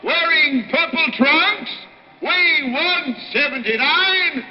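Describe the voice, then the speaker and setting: Boxing ring announcer, slow drawn-out shouts at a very high pitch, muffled vintage radio sound. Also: male voice. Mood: grand, shouting. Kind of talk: 1940s-50s radio drama announcing. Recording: muffled old radio recording.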